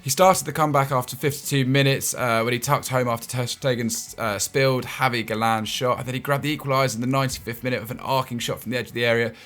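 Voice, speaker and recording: A man at a mid pitch breaking down football matches in relaxed conversation. He trips over and repeats his words as he goes, with little chuckles along the way. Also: quick pace, hardly any pauses. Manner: stammering restarts and chuckling asides. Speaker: football show host. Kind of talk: casual football analysis chat.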